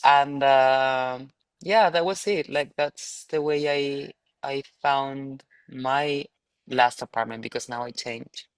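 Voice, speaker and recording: A man's voice: mid-range voice, fairly even intonation, takes it slowly, clean tone, no echo. A man from Chile with a Chilean Spanish accent, talking casually and ramblingly in a webinar with lots of filler "like". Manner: rambling, frequent "like". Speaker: postgraduate alumnus.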